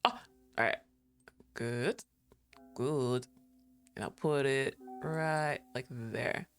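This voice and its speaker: A male streamer chatting casually to his viewers, excitable, laughing often and swearing freely. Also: mid-range voice, drawn-out words, smooth. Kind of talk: casual livestream chat. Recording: faint music behind the voice.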